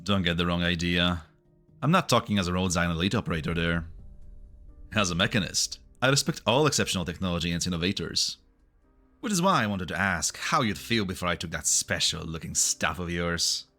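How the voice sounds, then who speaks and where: Animated, polite low-voiced man acting a character. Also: brisk, soft background music. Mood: curious, calm. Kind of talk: fan dub game story acting.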